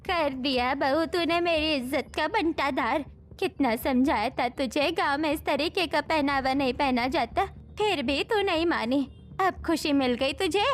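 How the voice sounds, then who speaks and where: A woman plays a stern village grandmother in an animated story. She has a high voice and a reproachful, scolding delivery, over background music. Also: measured pace. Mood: bitterly reproachful. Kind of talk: scripted Hindi animated story dialogue.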